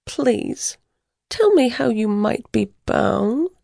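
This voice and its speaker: An actress playing a coaxing, seductive ancient temptress with theatrical delivery in a scripture drama. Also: female voice, a little high, animated, slow, vocal fry, warm tone, no room sound, studio quality, full character voice. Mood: coaxing, reproachful. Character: Delilah, coaxing seductive Philistine-era temptress.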